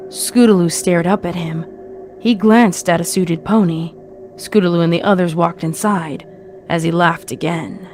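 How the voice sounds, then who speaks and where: A woman's voice: fairly low pitch, steady tone, unhurried, darkish tone, a little hiss or hum. A woman narrating a scripted horror story as a storyteller.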